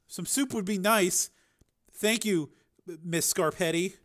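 Battered kid, played by a male narrator: pitched up, nervous, polite, halting storybook character. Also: a bit bright. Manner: hesitant, stumbling over words. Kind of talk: audiobook character voice.

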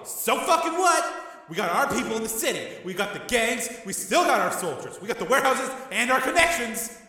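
A man voicing a volatile young male mobster who yells and swears explosively. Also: very high-pitched, very animated, fairly quick, recorded from a distance, voice pushed up, heavily acted. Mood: furious. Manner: yelling, profane, explosive. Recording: echoey hall.

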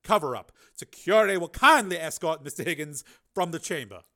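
Cold imperious senator, male, formal and clipped, raised and bright — a man putting him on for an audiobook. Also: high-pitched, expressive, a little fast, voice pushed up, heavily acted. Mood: stern. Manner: formal, clipped, coldly threatening.

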